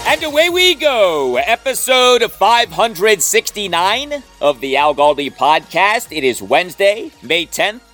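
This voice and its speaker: A man's voice: sits high, sing-song, unhurried, hardly any pauses, a bit bright, soft background music. A man hosting a solo sports podcast, talking in an emphatic, dramatic way with rhetorical repeats. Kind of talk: solo sports talk monologue.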